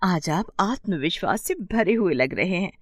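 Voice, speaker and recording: Cartoon story sister, young and educated played by a woman: earnest, reassuring, hopeful, with animated pitch swings, close dry sound. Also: moderate pace, no echo. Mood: happy. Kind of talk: animated moral story character dialogue.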